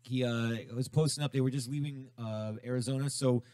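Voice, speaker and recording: A man's voice: mid-range voice, fairly even intonation, a little fast, darkish tone, clean tone, dry recording. A middle-aged man hosting a radio show from a studio, chatting casually with stumbling restarts and easy swearing. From Southern California. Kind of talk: casual radio interview chat.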